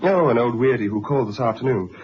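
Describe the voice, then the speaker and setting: A man's voice: medium pitch, lively intonation, fast talker, lightly acted. An actor playing a hesitant, polite upper-class schoolboy in his late teens, in a 1970s radio drama. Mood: casual, dutiful. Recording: dull, noisy old radio recording.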